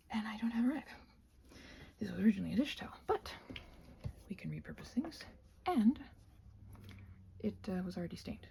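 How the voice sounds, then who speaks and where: Female ASMR craft host, scattered and chatty, soft dark tone with lots of fry, breathy and often near a whisper. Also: medium pitch, slight background noise. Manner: scattered, thinking aloud to herself. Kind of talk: rambling ASMR craft chat while painting.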